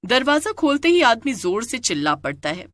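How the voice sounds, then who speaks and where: A woman's voice: a little high, very animated, normal speed, fairly bright tone. A woman narrating a scripted moral tale as a dramatic storyteller.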